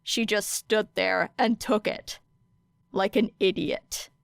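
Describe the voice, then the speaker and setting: A woman's voice: mid-range voice, fairly even intonation, takes it slowly, creaky, no echo. Woman voicing teenage boy, sullen, tired, muttering, exasperated, dry audiobook recording.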